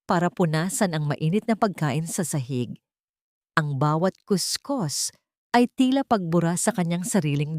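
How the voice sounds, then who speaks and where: A woman with a fairly low voice narrates a dramatic audiobook. Her delivery is scripted storytelling, and the recording is very dry and close. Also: a little mellow, dry recording.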